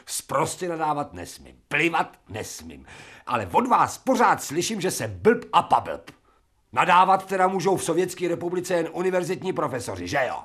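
A man's voice: fairly high pitch, sing-song, fairly bright tone, slightly rough, heavily acted. A cheeky dog-turned-man. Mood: insolent. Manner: coarse, cheeky, slangy. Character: crude insolent man made from a dog. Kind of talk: radio drama acting.

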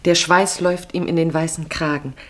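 Low-voiced woman narrating a radio play. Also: flat delivery, moderate pace. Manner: terse, present-tense storytelling.

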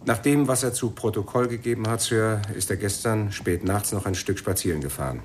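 A man playing a matter-of-fact police sergeant in a German radio crime drama, reporting formally and deliberately. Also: on the low side, slight background noise, lightly acted. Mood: factual, grave. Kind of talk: radio play acting.

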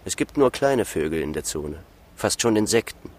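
An actor playing a stiff, fearful guard in a radio drama, speaking in a formal, pedantic manner. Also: male voice, sits low, big pitch swings, normal speed, a little mellow, slight background noise. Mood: insistent.

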